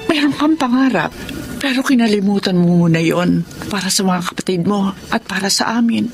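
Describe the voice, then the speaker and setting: A woman's voice: medium pitch, normal speed, natural voice. A woman acting a loving, apologetic middle-aged mother in a radio drama, with a Filipino accent and an emotional, pleading manner. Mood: tender, hesitant. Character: loving, self-sacrificing, apologetic mother of grown children. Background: dramatic background music.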